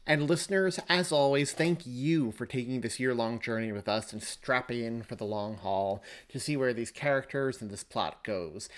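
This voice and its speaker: A man speaking as a podcast host and author, addressing listeners in a conversational style. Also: medium pitch.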